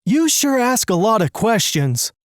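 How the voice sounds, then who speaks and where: A brusque getaway accomplice from a cartoon mystery, read by the narrator, bossy and impatient. The voice sits raised in pitch with lively movement, commanding and dismissive, recorded dry. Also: unhurried, no room sound. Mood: sarcastic.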